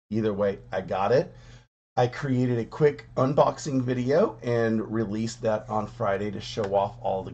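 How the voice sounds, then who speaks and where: A man with a hoarse voice weakened from coughing, chatting casually as a quilting vlogger, rambling with many fillers. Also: fairly low pitch, a little mellow, muffled recording, slight background noise. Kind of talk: casual chat to camera.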